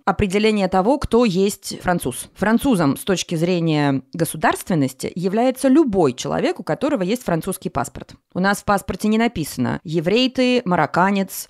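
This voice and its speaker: Woman, podcast guest, lively storyteller, ironic, dry close mic. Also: fairly low pitch, no room sound. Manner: lively, chatty, with ironic asides. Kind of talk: relaxed interview storytelling.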